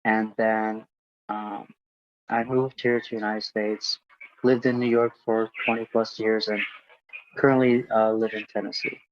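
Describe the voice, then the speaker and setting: A man's voice: on the low side, flat delivery, a little slow. Reflective man, dull lo-fi sound. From Hungary. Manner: reflective, repeats himself, many fillers. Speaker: guest speaker. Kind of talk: casual interview talk.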